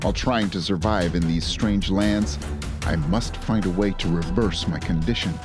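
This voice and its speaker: Music swells behind an actor as a stranded male traveler. His lowish voice narrates a survival journal in dramatic, scripted trailer style. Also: normal speed, lightly acted. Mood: grave, determined.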